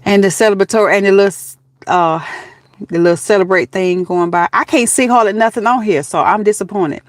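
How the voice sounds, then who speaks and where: A woman in her fifties with a Southern Georgia accent chats to her live-stream audience, laughing easily and calling people honey and baby. Her voice sits at an ordinary middle pitch, and the sound is dry and close, with no room around it. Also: brisk, dry recording. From Georgia, USA. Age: 50s. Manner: chatty, laughing often, calls viewers baby and honey. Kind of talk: casual live-stream chat with viewers.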